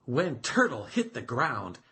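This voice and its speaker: A storyteller reading a children's tale aloud as expressive, deliberate narration. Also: mid-range voice, background noise.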